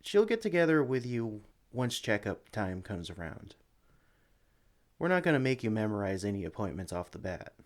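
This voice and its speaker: Man playing an excitable, lively-pitched sanctuary employee. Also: moderate pace. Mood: instructive, friendly. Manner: chatty, eager, apologetic, with hesitations. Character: excitable, friendly young male sanctuary employee training a newcomer. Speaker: experienced employee showing a new recruit around. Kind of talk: ASMR roleplay, one-sided conversation with the listener.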